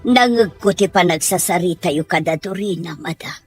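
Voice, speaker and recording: A woman's voice: fairly low pitch, moderate pace, slightly rough, faint music behind. A woman playing a worried, solicitous household member in an audio drama, with an Ilocano Filipino accent.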